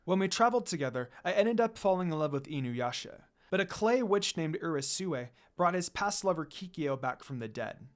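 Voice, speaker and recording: A man's voice: a little high, expressive, moderate pace, few pauses, natural voice. A man voicing a polite, earnest young priestess in a story, explaining things respectfully. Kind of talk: storybook character reading.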